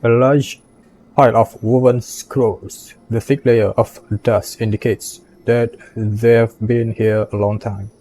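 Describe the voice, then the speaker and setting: A man doing casual video game commentary as a streamer, talking haltingly with muttered asides. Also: medium pitch, level tone, warm tone, a little hiss or hum, rough recording. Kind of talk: casual gameplay commentary, reading on-screen text. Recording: faint game music behind.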